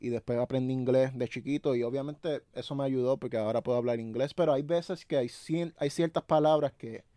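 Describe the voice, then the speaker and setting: A man's voice: mid-range voice, fairly even intonation, a little fast, mellow, no room sound, clean studio sound. A young man with an Ecuadorian Spanish accent, co-hosting a podcast in a friendly, agreeable way. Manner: friendly, agreeable, laughs along. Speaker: podcast co-host. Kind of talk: casual podcast chat.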